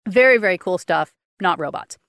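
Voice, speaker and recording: A woman's voice: fairly low pitch, expressive, fairly quick, a bit bright, clean tone, dry recording, clean studio sound. A woman co-hosting a podcast in casual chat, chatty and giggly, acting out what others say. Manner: chatty and giggly, acts out quotes. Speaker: podcast co-host.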